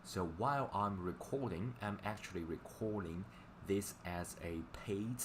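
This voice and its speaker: A man with a low-pitched voice and Chinese as his mother tongue talks as a language teacher on a livestream. His delivery is casual and halting, often circling back over the same words. Clear background noise runs through the recording. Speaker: language teacher live-streamer. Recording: clearly noisy livestream audio.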